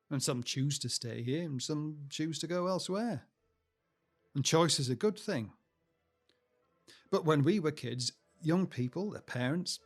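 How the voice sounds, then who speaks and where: An actor playing a cheerful, talkative middle-aged British husband and proud grandfather in a naturalistic audio drama, reflective and sentimental as he chats. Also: fairly high pitch. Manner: chatty, reflective speech-making.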